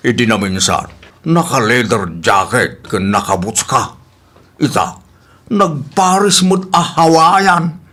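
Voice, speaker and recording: A man's voice: mid-range voice, big pitch swings, slow, sharp tone, heavily acted. A man playing a jovial uncle who teases and chuckles, with a Filipino accent, in a comedic radio drama. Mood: teasing. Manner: drawling, hearty chuckles. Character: jovial, teasing uncle who needles his nephew.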